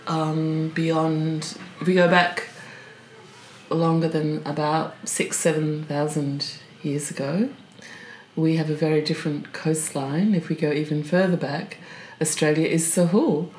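A woman's voice: low voice, steady tone, unhurried, darkish tone. A woman interviewing in a conversational style, with a curious, thoughtful way of talking. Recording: roomy echo, faint background noise.

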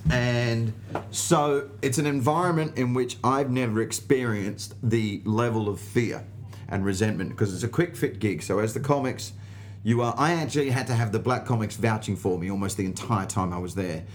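Australian male comic, mid-pitched, sweary emphatic storyteller in conversation, some background noise. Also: normal speed. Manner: sweary, emphatic, acts out quoted dialogue. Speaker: stand-up comedian, interview guest. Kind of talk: animated anecdote in casual conversation.

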